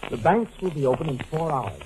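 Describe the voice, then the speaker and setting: An American actor playing a cool, calculating lawyer friend in a 1940s radio mystery, questioning and instructing in terse, deliberate phrases. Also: male voice, a little high, very animated, rough recording. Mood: commanding. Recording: old 1940s radio broadcast.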